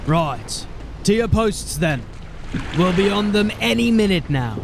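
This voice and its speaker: An actor playing a blunt, commanding veteran sergeant of a city watch. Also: male voice, high voice, big pitch swings, measured pace, creaky, background noise, heavily acted. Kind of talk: audio drama acting. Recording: music bed under the voice. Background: music.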